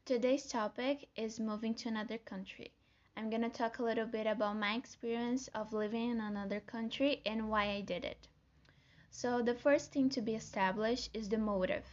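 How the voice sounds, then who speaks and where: A woman's voice: fairly high pitch, fairly even intonation, normal speed, muffled recording. A young woman from Brazil with a Brazilian Portuguese accent, hosting her own solo podcast in an earnest, friendly way, as if reading from notes. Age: young adult. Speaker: podcast host. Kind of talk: scripted solo podcast talk.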